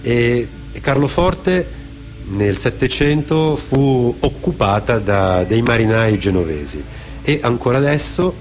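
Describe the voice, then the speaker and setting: A man's voice: medium pitch, lively intonation, slow pace. A man from Genoa performing dramatic radio storytelling, in a reflective manner that sometimes halts and repeats phrases. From Genoa, Italy. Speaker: theatre storyteller, author-performer on a radio theatre programme. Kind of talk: dramatic storytelling, partly off-the-cuff anecdotes and partly read testimony. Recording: music behind the voice. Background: music.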